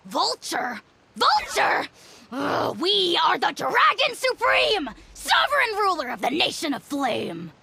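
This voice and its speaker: A woman's voice: very high voice, huge pitch swings, slow, creaky, sharp tone, full character voice. A woman playing a small, arrogant, boastful evil dragon lord in a video game, pompous and theatrical. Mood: indignant.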